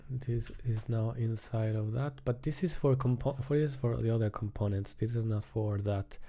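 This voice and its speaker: A man's voice: medium pitch, normal speed, mellow. A man live coding in a casual way, thinking aloud and muttering hesitantly to himself.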